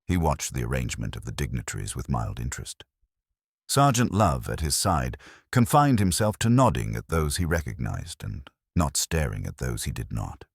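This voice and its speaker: Very deep male storyteller, wry delivery. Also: sing-song, gravelly, husky, no room sound, studio quality. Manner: wry, measured, literary. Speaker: narrator of an audio mystery story. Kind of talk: scripted narration of a period comic mystery in radio drama style.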